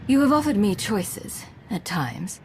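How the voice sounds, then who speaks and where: Animated, challenging female commander. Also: mid-range voice, a little slow, slight background noise. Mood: indignant. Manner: direct, challenging. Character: guarded, indignant female military commander, strong-willed. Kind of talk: video game voice acting.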